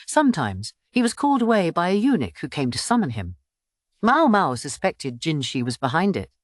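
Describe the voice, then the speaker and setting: A woman's voice: deep voice, very animated, normal speed, dry recording, clean studio sound. A woman reading scripted story narration for an audiobook.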